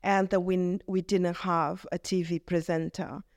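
A woman's voice: fairly low pitch, level tone, no room sound. Brazilian accent lady vicar, earnest, stops and restarts a lot, dead dry mic. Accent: Brazilian Portuguese. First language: Portuguese. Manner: earnest, halting, rephrases often. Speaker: vicar, guest. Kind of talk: reflective testimony in conversation.

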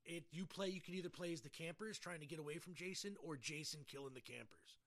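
A man's voice: on the high side, quick pace, fairly bright tone. A middle-aged man chatting casually and enthusiastically about video games as a podcast host, with slangy, talkative phrasing.

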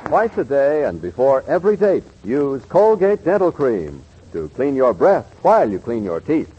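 A man's voice: mid-range voice, wildly swooping pitch, moderate pace, a little hiss or hum. An American man reading advertising copy in 1940s radio style. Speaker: commercial announcer. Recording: muffled vintage 1940s radio broadcast.

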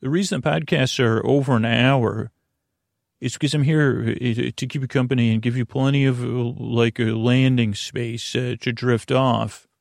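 Creaky-voiced, meandering male podcast host. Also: steady tone, no room sound, clean studio sound. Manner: halting, meandering, repeats and trails off mid-thought; reassuring, low-pressure. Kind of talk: rambling, informal bedtime podcast monologue.

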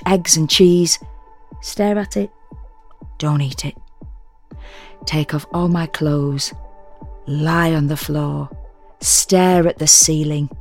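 A woman's voice: sits low, creaky, darkish tone, heavily acted. An actress playing a sardonic, self-deprecating woman in an intimate, confiding first-person audio drama monologue. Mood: brisk, resolute. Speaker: solo performer reading a dramatic monologue. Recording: music under the voice.

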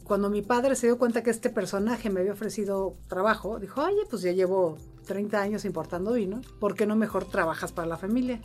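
A woman's voice: medium pitch, a little fast, few pauses, soft background music. A middle-aged woman with a Mexican Spanish accent tells stories casually as an interview guest, in a chatty, humorous, colloquial way. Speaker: interview guest, wine importer and sommelier. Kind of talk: casual conversational storytelling.

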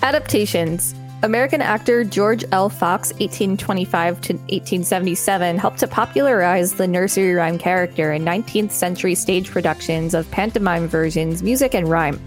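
A woman's voice: lowish voice, fairly quick, hardly any pauses, faint music behind. A woman co-hosting a podcast, reading an encyclopedia article aloud with casual commentary. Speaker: podcast co-host.

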